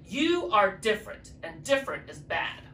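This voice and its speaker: A drag performer voicing a bossy, self-important male stink bug in a children's storybook, loud and domineering. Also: mid-range voice, very animated, normal speed. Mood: bossy, commanding. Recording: roomy, distant mic, faint noise.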